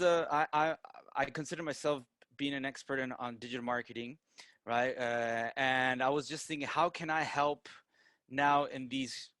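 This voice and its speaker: Male presenter, American accent, mid pitch, dry recording, informal, tags points with "right?". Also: a bit bright, dry recording. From Peru, raised in the United States. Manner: frequently tags statements with "right?". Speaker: guest presenter, digital marketer.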